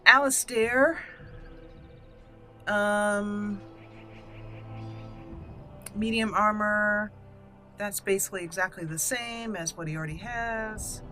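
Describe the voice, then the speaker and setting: A woman's voice: mid-range voice, slow, long pauses, bright, forceful tone, soft background music. A woman hosting a casual game walkthrough, thinking aloud in short fragments as she plays. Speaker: gaming channel host.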